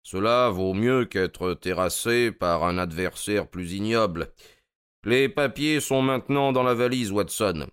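Un homme qui joue, pour un livre audio, un détective anglais d'âge mûr, suave, courtois et moqueur. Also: male voice, lowish voice, a bit bright, clean tone, no echo, studio quality. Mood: politely triumphant. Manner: amused, courteous, drawn-out mocking delivery. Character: suave, wry older English detective, gloating politely.

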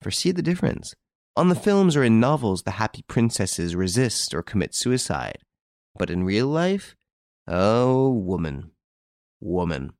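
A man voicing an assured, wry gentleman investigator in an audiobook story, speaking theatrically and without hesitation. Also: lowish voice, big pitch swings, unhurried, no echo, clean studio sound. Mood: amused, ironic.

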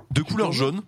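A man's voice: fairly high pitch, a bit bright, a little hiss or hum. A man hosting a guessing game, counting down and handing out clues in a teasing way. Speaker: game show host.

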